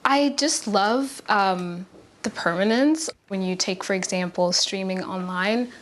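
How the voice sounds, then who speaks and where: A woman's voice: mid-range voice, measured pace, a little hiss or hum. A woman in her twenties, a content creator, chatting casually in an interview. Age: 20s.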